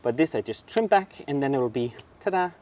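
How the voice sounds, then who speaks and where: An enthusiastic man with a Danish accent walks through a garden, chatting casually about what is in bloom. He keeps exclaiming over the plants and pointing things out. His voice sits at an ordinary middle pitch for a man. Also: animated, a little fast, darkish tone, a little hiss or hum. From southern Denmark. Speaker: garden show host. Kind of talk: casual garden tour chat. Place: outdoor garden.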